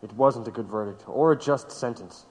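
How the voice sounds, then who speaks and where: An actor playing a considerate younger male interviewer in an audio drama, gently questioning. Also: mid-range voice, moderate pace, lightly acted. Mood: measured. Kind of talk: low-key dramatic dialogue. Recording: long hall-like reverb.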